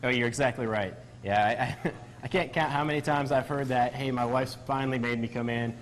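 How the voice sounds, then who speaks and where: A man's voice: mid-range voice, steady tone, a little fast, a little hiss or hum. A man speaking as a doctor being interviewed, explaining things in a conversational way.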